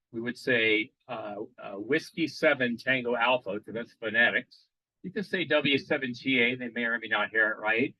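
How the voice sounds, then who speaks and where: A man presenting a live demo at a radio club meeting, explaining casually and pausing often to restart his sentences. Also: medium pitch, no echo, lo-fi sound. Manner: casual, halting, many fillers and restarts.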